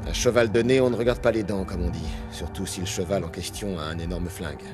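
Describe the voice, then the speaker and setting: An actor playing a weary, gruff security captain in a video game, speaking in a blunt, dry, colloquial way. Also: male voice, low-pitched, quick pace, music in the background, lightly acted. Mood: weary, sardonic. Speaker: guard captain.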